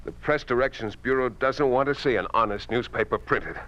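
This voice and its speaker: An American actor playing a stubborn, defiant newspaper publisher in a 1950s radio drama, speaking emphatically. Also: male voice, mid-range voice, a little fast, fairly bright tone, muffled recording, natural voice. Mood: grim defiance. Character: defiant, stubborn honest male newspaper publisher under oppression. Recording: old 1950s radio recording.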